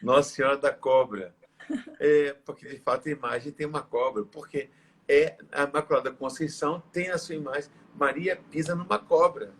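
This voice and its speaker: Hoarse, tired priest, husky. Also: male voice, a little high, a bit bright. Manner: reverent, measured preaching with slow prayers. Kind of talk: live religious talk and prayer.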